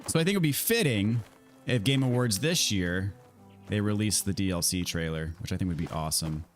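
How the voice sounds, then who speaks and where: A man with an American accent chatting casually to viewers while he plays a video game, his voice a little raspy from a scratchy throat. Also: fairly low pitch, lively intonation, fairly quick, faint music behind. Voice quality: slightly raspy. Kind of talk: casual livestream chat answering viewers while gaming.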